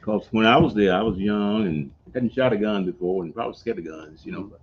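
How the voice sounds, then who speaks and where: A man, a veteran guest speaking in a slow, halting, plain-spoken way. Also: lowish voice. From Montgomery, Alabama. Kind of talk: casual interview. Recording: dull lo-fi recording with faint noise.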